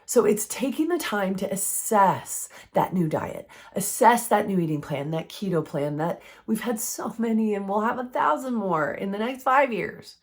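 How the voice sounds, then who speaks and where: A woman with a froggy, hoarse voice from recent illness, giving an earnest, conversational faith-based health teaching. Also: mid-range voice, lively intonation, moderate pace, few pauses. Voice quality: froggy, strained voice.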